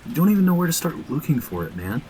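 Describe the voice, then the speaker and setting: A man's voice: a little high, sing-song, fast, a little mellow, a little hiss or hum, low-quality recording. An actor playing a cautious, worried young man in an audio drama, slangy, skeptical and sarcastic. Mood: worried, pleading. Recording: clear outdoor ambience, crickets behind.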